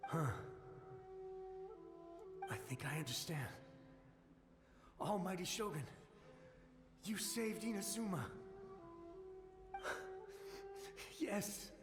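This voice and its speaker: A man's voice: sits high, lively intonation, takes it slowly, long pauses. A man voicing a desperate, haunted samurai ghost in a video game, talking in an urgent, pleading way. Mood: relieved. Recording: echoey hall reverb. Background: game music.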